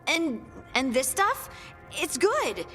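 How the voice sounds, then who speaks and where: A woman's voice: high-pitched, sing-song, takes it slowly, fairly bright tone, big-room sound, soft background music, full character voice. A woman voicing an earnest, eager-to-please young female dwarf in a video game, hesitant and apologetic. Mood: sheepish.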